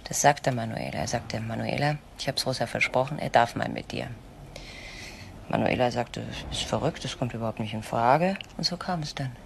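A protective, controlling woman in a radio crime drama. Her voice is low and gravelly, and she speaks in an insistent, articulate way. A light noise sits on the recording. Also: normal speed. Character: controlling, protective woman shielding a frightened younger friend.

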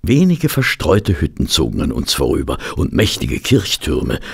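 An actor playing a fussy gentleman who is a detective's companion and narrator in a German audio drama. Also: very deep voice, wildly swooping pitch, gravelly, breathy edge, exaggerated voice. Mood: measured, sombre. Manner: flustered in dialogue, measured and literary when narrating.

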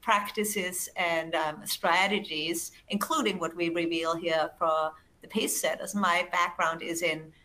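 Woman presenter, Austrian accent, bright and forceful tone, eager, repeats words, video call. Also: lowish voice, fairly even intonation, measured pace, few pauses, rough recording. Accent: Austrian German. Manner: enthusiastic, repeats words and restarts. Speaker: webinar presenter, research executive. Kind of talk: presenting slides in a webinar.

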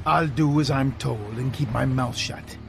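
Action game lead: a hard-boiled gun for hire, gravelly, laconic, music underneath. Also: male voice, mid-range voice, measured pace. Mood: cool, terse. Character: tough, terse male mercenary agent in his thirties. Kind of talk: video game cutscene acting.

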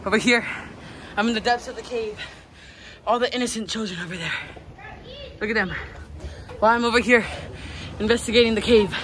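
A teenage girl vlogging casually, giggly and excitable, filming on a handheld phone. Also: on the high side, brisk, long pauses, background noise. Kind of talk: casual vlog.

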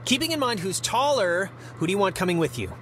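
A man's voice: sits high, sing-song, fast, fairly bright tone, a little hiss or hum. A young American man playing a blunt, skeptical teenage boy in a video game, dry and sarcastic in delivery. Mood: argumentative. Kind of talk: naturalistic conversational video game acting.

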